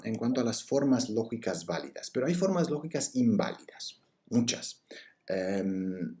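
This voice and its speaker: A man's voice: mid-range voice, dark tone. A man giving a recorded university lecture on logic, explaining step by step with frequent fillers and restarts.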